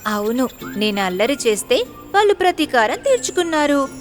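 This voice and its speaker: A woman's voice: high-pitched, sing-song, moderate pace, soft background music. A woman voicing a mischievous boy in a children's story, speaking earnestly and reflectively. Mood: rueful. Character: mischievous boy who has learned his lesson.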